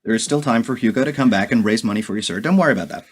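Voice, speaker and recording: Man acting quick, deferential presidential aide. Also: lowish voice, breathy edge. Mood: assured, deferential. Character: smoothly deferential male presidential aide, assured, formal. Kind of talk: radio play acting.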